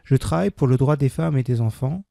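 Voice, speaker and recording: A man with a dark, gravelly voice reads a beginner French text at a measured pace. He repeats each sentence with careful diction. The recording is dry and close, with no room sound. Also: mid-range voice, dry recording. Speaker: French language teacher. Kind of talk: slow scripted reading for learners.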